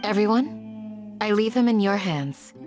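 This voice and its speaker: An actress playing a composed, kindly, thoughtful leader in a video game. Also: female voice, mid-range voice, unhurried, natural voice. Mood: gentle. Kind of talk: video game cutscene acting. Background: guitar music.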